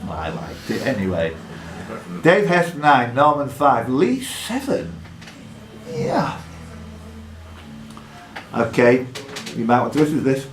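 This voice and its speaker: A man hosting a live quiz in a pub, reading questions out deliberately and adding jokey asides. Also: mid-range voice, huge pitch swings, faint music behind. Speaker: quizmaster. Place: indoor pub. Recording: echoey pub room with background noise.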